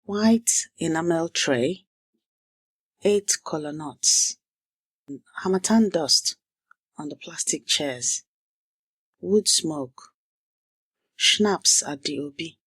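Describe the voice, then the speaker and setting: A woman teaches a language, setting the scene with short, measured story fragments before drilling phrases. Her voice is slightly husky and unhurried. The sound is close and dry, with no room around it. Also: low voice, fairly bright tone, dry recording. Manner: clipped, measured fragments with deliberate pauses; repeats target phrases slowly for learners.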